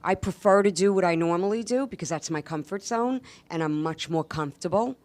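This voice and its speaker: A woman from New York, a comedian, talking casually as a guest on a radio show, lively and laughing with quick asides. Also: lowish voice.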